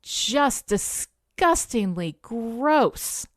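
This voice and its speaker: A woman's voice: on the high side, big pitch swings, drawn-out words, no echo, studio quality. A woman co-hosting a podcast, talking casually and emphatically with blunt, sweary opinions. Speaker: podcast co-host, TV show critic.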